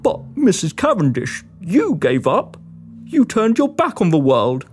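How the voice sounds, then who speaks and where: A woman plays a defeated male monster hunter in a comic period audio drama. He speaks low, hesitant and pleading, apologetic to the core, over background noise. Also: wildly swooping pitch, unhurried, a little mellow. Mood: despairing.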